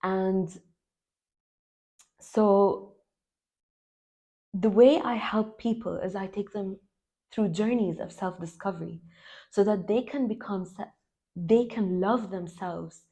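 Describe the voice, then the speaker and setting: A woman in her thirties from Lebanon, raised in the UAE, talking to camera as a self-love coach in an earnest, slow and emphatic way. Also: mid-range voice, dark tone. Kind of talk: spiritual vlog talk to camera.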